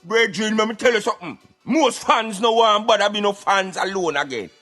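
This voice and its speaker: A man's voice: sits high, a little fast, bright, forceful tone, faint music behind. A man with a Jamaican accent playing a streetwise, preachy Rasta commentator ranting insistently on a satirical radio show. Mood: exasperated, insistent. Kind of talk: satirical radio rant in patois.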